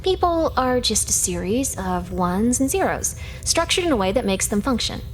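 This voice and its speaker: A woman's voice: on the high side, big pitch swings, normal speed, a little hiss or hum. An actress playing a sardonic, teasing young female hacker in a sci-fi video game, speaking in a matter-of-fact way. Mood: teasing, dry. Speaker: video game character actor. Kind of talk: scripted video game character dialogue.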